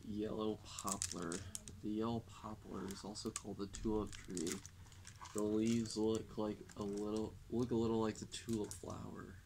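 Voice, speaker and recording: Young male reader, low gravelly voice, deadpan delivery of a children's nature book. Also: normal speed, noisy background. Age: young adult. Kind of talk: reading a children's non-fiction book aloud. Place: indoors, public library, at a table.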